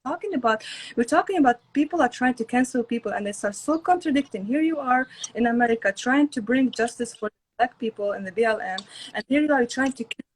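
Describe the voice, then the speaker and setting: A woman's voice: a little high, brisk, husky, low-quality recording. A woman from Lebanon speaking earnestly and emphatically on a casual live-stream chat, often restarting her sentences. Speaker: guest.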